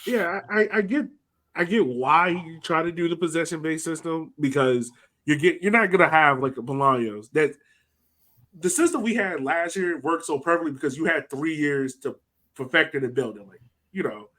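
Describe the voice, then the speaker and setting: A man's voice: a little high, expressive, moderate pace, pushed and bright. A man co-hosting a soccer podcast, talking casually and hesitantly, with lots of "like". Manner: hesitant, fills speech with "like". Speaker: podcast co-host.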